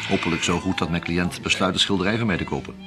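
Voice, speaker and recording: An actor playing a smooth male art dealer in a radio play, charming, playful and evasive. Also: low voice, fast, over music, lightly acted.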